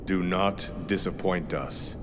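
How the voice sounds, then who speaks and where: An actor playing a severe, dismissive lord of an occult council in a video game, clipped and grave. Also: male voice, low-pitched, fairly even intonation, takes it slowly. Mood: severe disdain. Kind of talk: video game villain acting. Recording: echoing hall with background noise.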